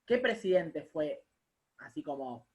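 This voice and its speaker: Slightly high-voiced young Argentine man. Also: very animated, a little slow, a little mellow. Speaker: student. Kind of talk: class discussion, partly reading aloud.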